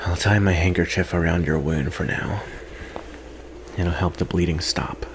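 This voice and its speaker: A man playing a guarded, weary yet secretly tender vampire in an intimate ASMR roleplay, soft-spoken with halting pauses. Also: sits low, fairly even intonation, noisy background, close to an everyday voice. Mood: gentle, hesitant.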